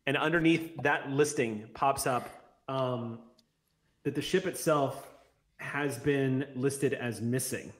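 Guy in his 40s game mastering, mid pitched voice, descriptive chatty storytelling, close mic. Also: slow, a bit bright. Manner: descriptive, conversational storytelling with vivid detail. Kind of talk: narrating a tabletop roleplay session. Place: small home room, video call. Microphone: close large studio microphone on an arm.

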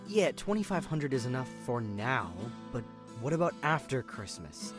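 Man acting bold, bossy thief, animated delivery. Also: mid-range voice, normal speed, over music, natural voice. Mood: urgent, insistent. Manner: blunt, commanding, often swearing. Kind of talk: audio drama acting.

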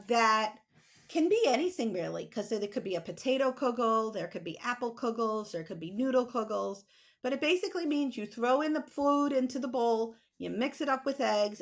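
A woman's voice: a little high, a bit bright. A middle-aged American woman chatting casually with children on a video call, explaining things in an encouraging way. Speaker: children's author and illustrator as guest. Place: small home room with bookshelf, indoors. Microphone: computer mic on video call.

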